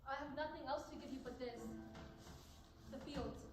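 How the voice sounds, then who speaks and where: High-voiced earnest teacher, echoey stage woman acting. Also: steady tone, normal speed, music in the background, close to an everyday voice. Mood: resolute. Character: gentle, resolute woman teacher in a prison camp. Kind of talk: stage play monologue. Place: stage performance recorded from afar. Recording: echoey stage hall, distant mic, noisy.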